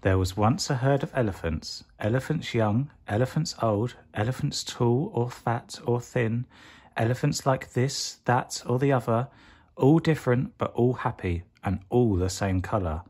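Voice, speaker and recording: A man's voice: mid-range voice, breathy edge. Man reading a children's bedtime story. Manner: expressive, deliberate, drawn-out emphasis on key words. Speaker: storyteller reading a children's picture book aloud. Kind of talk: bedtime story read-aloud.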